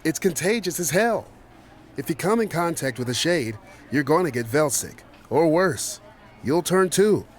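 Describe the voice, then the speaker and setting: A man's voice: fairly high pitch, expressive, a little slow. A man acting as a blunt, wary manager in an audio drama, speaking emphatically. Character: blunt, wary male manager, fearful of mutants. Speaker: general manager.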